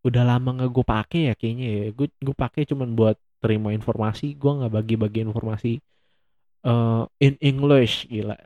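A man's voice: mid-range voice, fairly even intonation, moderate pace, warm tone, no echo, highs cut off. A young man hosting a solo podcast, chatting casually in a rambling, self-mocking way and laughing often. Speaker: podcast host.

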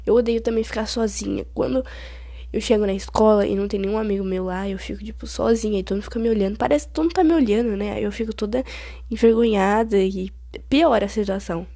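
Stuffy-nosed Brazilian teen girl, gravelly. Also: medium pitch, quick pace, dark tone, no room sound, clean studio sound. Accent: Brazilian Portuguese. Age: late teens. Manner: chatty, rambling, dramatic complaining. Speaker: podcast host. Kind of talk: casual solo podcast chat.

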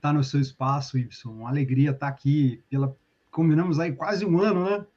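A husky, fairly high male voice with a Carioca accent chats as a guest on a podcast. He is friendly and easygoing and uses a lot of slang. The sound is dull and lo-fi, like a remote call. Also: brisk, a little mellow. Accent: Carioca Portuguese. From Rio de Janeiro state, Brazil. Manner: friendly, chatty, full of slang. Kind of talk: casual podcast chat.

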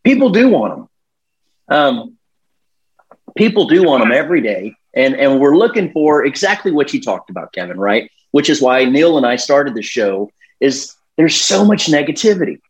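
A man's voice: mid-range voice, big pitch swings, moderate pace, rough recording. A man co-hosting an interview show, encouraging his guest and often agreeing with him. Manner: encouraging, affirming with frequent agreement. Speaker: podcast co-host.

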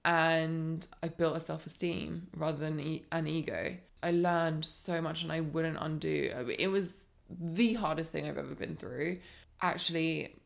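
A woman in her thirties, a life coach telling her own story as a podcast guest, earnest and reflective, animated when she builds a point. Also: deep voice, measured pace, vocal fry. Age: 30s. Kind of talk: candid personal storytelling.

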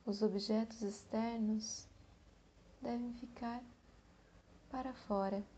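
Very slow, soft-toned woman guiding meditation. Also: a little high, fairly even intonation, slight background noise. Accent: Brazilian Portuguese. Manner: slow, gentle delivery with long pauses. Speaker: meditation guide. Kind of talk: guided meditation.

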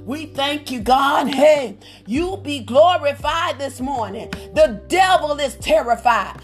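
Very high-pitched woman preaching fervently. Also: expressive, slow, soft background music. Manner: exclamatory, with shouted praise and frequent interjections. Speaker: preacher leading a phone prayer line. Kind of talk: fervent charismatic sermon and prayer. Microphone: phone call on a prayer line.

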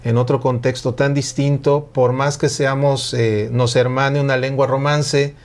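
A man's voice: mid-range voice, flat delivery, measured pace. A man, hosting an interview and asking long, winding, friendly questions. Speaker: radio host interviewing a guest. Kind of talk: conversational interview.

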